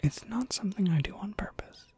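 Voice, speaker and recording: A woman playing a courteous, businesslike witch shopkeeper in a soft, measured ASMR whisper. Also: mid-range voice, lively intonation, breathy edge. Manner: measured, polite, gently explanatory.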